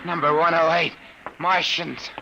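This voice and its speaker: An actor playing an uneasy private detective, speaking hesitantly and haltingly in an old-time radio drama. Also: male voice, fairly high pitch, flat delivery, gravelly, bright, forceful tone, lo-fi sound, full character voice. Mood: desperate pleading. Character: uneasy male private detective, drugged and desperate. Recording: very noisy, clicky old radio recording.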